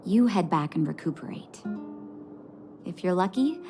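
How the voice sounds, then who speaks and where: An actress voicing a calm, caring leader of an underground resistance in a video game, measured and gracious. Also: female voice, lowish voice. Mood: reassuring, caring. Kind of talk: video game cutscene dialogue. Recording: music playing behind the voice.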